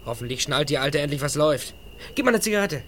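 A man's voice: fairly high pitch, expressive, fast, fairly bright tone, slight background noise. A man playing a sly young troublemaker in a radio play. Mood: smug.

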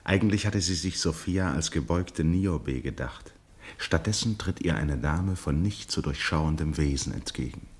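Super deep guy narrating a radio play, measured, bookish delivery. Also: animated.

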